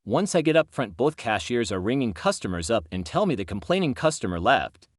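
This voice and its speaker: Guy reading stories out loud, really lively pitch, super dry recording, does the dialogue. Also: brisk, no echo. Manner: acts out quoted dialogue. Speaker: channel host narrator reading stories. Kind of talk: scripted story narration.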